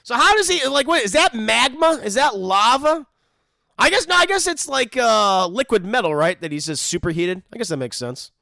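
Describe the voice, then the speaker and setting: A man hosts a casual, joking commentary on a cartoon episode, his fairly high voice leaping all over the place with a gravelly rasp. His tone is bright and tense, and the close mic keeps the room dry. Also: normal speed, no room sound. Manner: joking, animated, mock-voices characters. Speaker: commentary host.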